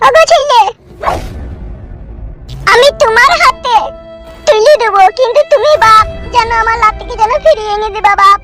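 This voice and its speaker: A woman's voice: very high-pitched, normal speed, long pauses. A woman voicing an anxious, plaintive old village grandmother in a cartoon. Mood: tearful, pleading. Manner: plaintive, fretful. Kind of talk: cartoon melodrama. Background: music.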